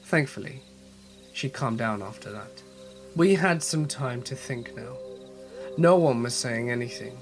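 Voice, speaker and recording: A man narrating horror stories as a channel host, reading in a measured, steady way with a voice slightly off from a cold. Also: mid-range voice, soft background music. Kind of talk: scripted horror story narration.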